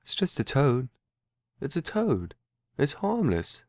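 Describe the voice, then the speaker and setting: A man playing a timid, earnest, slow-minded young runaway who speaks in a simple, halting, pleading way. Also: mid-range voice, huge pitch swings, exaggerated voice. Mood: gentle reassuring.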